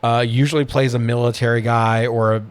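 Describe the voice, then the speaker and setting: A man's voice: medium pitch, little pitch movement, a little fast, smooth. A man co-hosting a podcast, chatting casually about movies in slangy, profane language. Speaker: podcast host.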